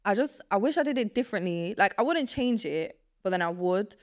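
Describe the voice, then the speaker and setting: A woman's voice: medium pitch, brisk. A young woman in her twenties from Poland, a native Polish speaker, chatting casually as a podcast guest. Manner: relaxed, rambling, heavy use of filler words. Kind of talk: casual chat.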